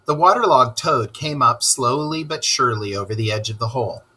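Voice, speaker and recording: A man narrating a children's story aloud in an expressive storytelling style. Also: medium pitch, a little fast, slightly rough.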